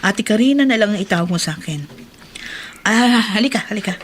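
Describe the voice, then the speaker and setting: Woman doing filipino housewife, frank but kind, medium pitch, radio drama w clear background noise. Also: animated, fairly quick, lightly acted. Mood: curious, kindly. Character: tired but kindly housewife, frank and cautious.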